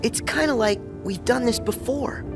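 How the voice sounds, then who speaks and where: A man's voice: very high-pitched, moderate pace, gravelly. A man voicing a brave, friendly teenage boy hero in a video game, speaking in an earnest, heartfelt way. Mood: grateful, wistful. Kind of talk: animated game voice acting. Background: game music.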